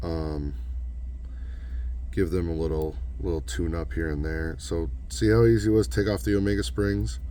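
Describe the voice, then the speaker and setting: A young man with a hoarse voice from losing it, casually walking through a hands-on tutorial in a relaxed, rambling way. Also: low-pitched, moderate pace, a little hiss or hum. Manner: relaxed, rambling, self-correcting. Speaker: knife modding channel host.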